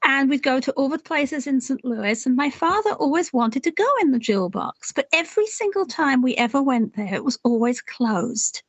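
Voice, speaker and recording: A woman's voice: sits high, lively intonation, normal speed, few pauses, slightly rough, no room sound. A middle-aged woman from England with an English accent, an author and puzzle writer answering interview questions in a chatty, rambling way. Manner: chatty, rambling with restarts and small laughs.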